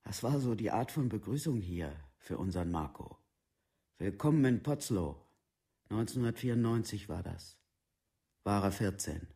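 An actor playing an aggrieved, protective parent in a documentary radio drama, speaking in terse colloquial fragments. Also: male voice, medium pitch, dark tone. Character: aggrieved, protective parent recounting a son's humiliation.

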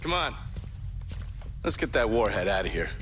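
A man's voice: lowish voice, very animated, moderate pace, background noise. A man playing a righteous American government agent in a video game, accusing and sarcastic in his manner. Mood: curt. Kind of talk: video game cutscene acting.

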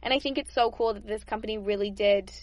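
A woman's voice: mid-range voice, steady tone, quick pace, dry recording. A young woman hosting a podcast, sharing good-news stories in a chatty, upbeat way with frequent laughs. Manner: chatty and upbeat, laughs often, repeats words. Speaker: podcast host. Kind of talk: casual good-news chat.

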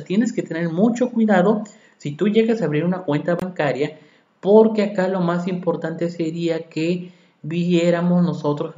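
A man's voice: a little high, mellow. Mexican man lecturing on tax law. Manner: reads legal articles aloud, then explains them with rhetorical questions to the audience. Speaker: accountant explaining tax law. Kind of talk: podcast lecture. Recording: echoey room, dull lo-fi sound.

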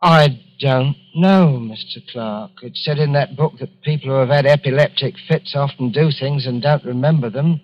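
A man's voice: mid-range voice, moderate pace, few pauses, slight background noise, natural voice. An actor playing a timid, anxious middle-aged salesman in a 1940s radio drama, speaking hesitantly and apologetically. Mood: troubled. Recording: dull vintage radio recording.

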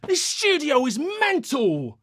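A man voicing an excitable, cocky young male singer with a London accent, talking in slangy, over-the-top bursts. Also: very high-pitched, slow pace, voice pushed up, exaggerated voice. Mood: excited. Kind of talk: comedy sketch acting.

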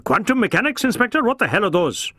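A stern Indian police chief, acted by a male reader for an audiobook. He barks impatiently and brusquely in a raised pitch, with a dry sound. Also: very animated, quick pace, sharp tone, breathy edge, no room sound, full character voice. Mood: incredulous, irritated. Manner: barking, brusque. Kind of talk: audiobook character voice.

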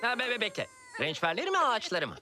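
An actor voicing an excitable, boastful pet cat sidekick in a dubbed children's cartoon, with comic stammers. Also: medium pitch, fast, very noisy. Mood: nervous, comic. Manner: hesitant stammering, comic exclamations. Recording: music behind the voice.

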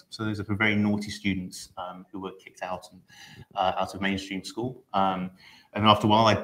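A middle-aged man explaining language ideas in a relaxed, casual way during a live online session, with frequent ums and you knows. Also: lowish voice, low-quality recording. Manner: relaxed explainer, many fillers like um and you know. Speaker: linguistics researcher.

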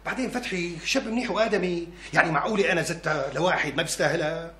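Coaxing Syrian family man, bright-voiced. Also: on the high side, racing. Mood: soothing, coaxing. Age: middle-aged. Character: firm but coaxing husband and father, a peacemaker. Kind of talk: TV drama acting. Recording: echoey room, noisy ambience.

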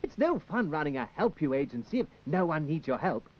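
A man's voice: sing-song, brisk, a little hiss or hum. An actor playing a flustered, eager-to-help young handyman in a 1960s children's puppet show, hesitant and prone to stammering. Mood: eager, musing. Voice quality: high-pitched. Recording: dull 1960s television film soundtrack, music.

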